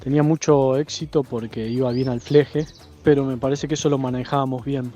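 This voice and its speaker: An Argentine man reflects in an interview with a mellow, dark-toned voice at middle pitch, faint music underneath. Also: steady tone, brisk.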